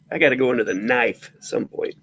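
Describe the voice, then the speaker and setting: Congested man with a nasal voice. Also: fairly bright tone. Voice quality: high, nasal speaking voice. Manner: agreeable, many quick yeahs. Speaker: stream host. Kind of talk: casual stream chat.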